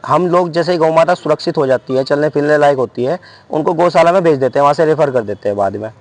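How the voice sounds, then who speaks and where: A man with a western Uttar Pradesh accent speaks off the cuff as an activist making a complaint. His voice is pitched somewhat high for a man. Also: fast. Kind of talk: spontaneous spoken complaint.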